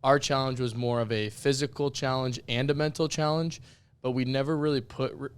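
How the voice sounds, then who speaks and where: A young man with a raspy, worn-out voice co-hosts a laid-back podcast. His tone stays even and flat. Under the rasp, the sound is still smooth and clear. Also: medium pitch, brisk. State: voice worn out from yelling. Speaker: podcast co-host. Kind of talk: casual podcast chat.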